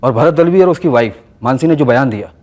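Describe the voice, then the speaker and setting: An actor playing a methodical police investigator in a TV crime drama, giving a serious, factual report. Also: male voice, mid-range voice, animated, fast, darkish tone, close to an everyday voice.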